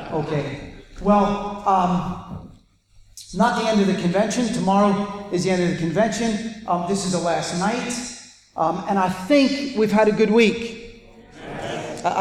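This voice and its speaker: A man's voice: sits high, darkish tone, a little hiss or hum. A man with a Welsh accent preaching a conversational sermon to a convention audience, often restarting and repeating words. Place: large hall with a live audience. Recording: echoey hall with long reverb, faint ambience.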